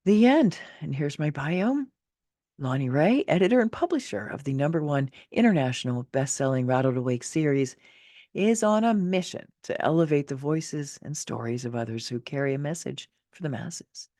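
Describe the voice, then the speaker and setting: A woman's voice: low voice, expressive, moderate pace, dark tone, no echo, studio quality. A middle-aged woman reading her own personal essay in an emphatic, theatrical, motivational way. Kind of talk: expressive scripted narration of a personal essay.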